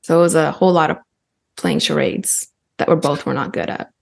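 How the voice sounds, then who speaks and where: A woman from Ukraine with an American accent, a guest talking casually in an interview, animated and laughing often as she speaks in quick bursts. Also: sits low, gravelly. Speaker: guest, Paralympic athlete. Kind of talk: casual podcast conversation.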